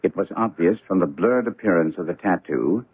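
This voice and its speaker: An actor playing a cool, self-assured gentleman detective in a radio drama, measured and incisive. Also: low-pitched, expressive, breathy edge. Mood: confident deduction. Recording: muffled vintage radio broadcast.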